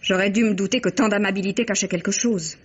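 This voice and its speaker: A woman's voice: mid-range voice, steady tone, fast talker, breathy edge, natural voice. An actress playing the wary owner of a couture house who speaks to her cousin with growing reproach in an old radio drama. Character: proud fashion-house owner, wary woman. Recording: dull old radio archive.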